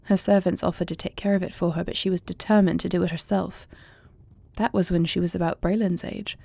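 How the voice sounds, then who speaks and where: A thoughtful warrior princess played by a woman speaks with formal, eloquent care in a fantasy audio drama. Her voice is mellow and slightly low, with a creaky edge. She sounds earnest and devoted, as if confiding in her spouse. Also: steady tone, dry recording. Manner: formal, measured, eloquent diction. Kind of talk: intimate fantasy audio roleplay acting.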